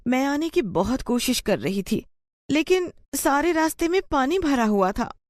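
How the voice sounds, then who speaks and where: Storybook role: troubled poor mother and maid, played by a woman narrator, pleading tone, expressive pitch, close dry sound. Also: measured pace, dry recording. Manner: pleading, sorrowful. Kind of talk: acted dialogue in a Hindi moral story.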